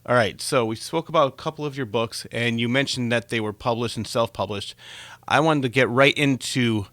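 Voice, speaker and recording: A man's voice: mid-range voice, normal speed, a bit bright, no room sound. A man hosting an interview show, giving scripted introductions and asking conversational questions.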